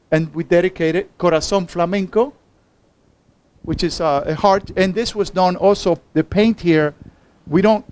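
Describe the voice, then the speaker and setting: A man from Cuba with a Cuban accent informally presenting his own artwork in a halting, hesitant way. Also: high-pitched, darkish tone, a little hiss or hum. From Havana, Cuba. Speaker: artist.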